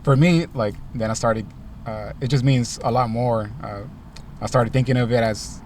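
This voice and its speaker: A young man from Los Angeles, a rapper, answering interview questions in a car in a relaxed way, with lots of ums and likes. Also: mid-range voice, moderate pace, far from the mic, slight background noise. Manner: laid-back, many ums and likes. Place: inside a parked car.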